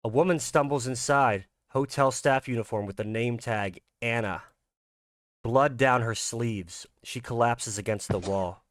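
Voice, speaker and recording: A man's voice: mid-range voice, unhurried, dry recording, studio quality. A man reading dramatic scripted narration for a horror visual novel. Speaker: narrator and creator.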